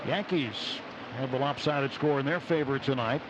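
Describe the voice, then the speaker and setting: A man's voice: mid-range voice, moderate pace. A man with an American accent calling a baseball game live on radio, play by play, and reading short sponsor spots. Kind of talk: live radio sports commentary with sponsor reads. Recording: dull radio broadcast with loud stadium noise. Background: stadium ambience.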